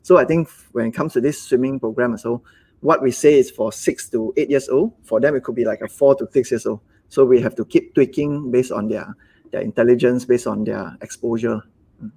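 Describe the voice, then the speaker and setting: A husky-voiced man with a Malaysian English accent talks about his business in a live online chat. He speaks haltingly, repeats words and acts out little quotes along the way. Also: mid-range voice, fairly even intonation, warm tone, rough recording. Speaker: business owner guest. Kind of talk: live webinar conversation.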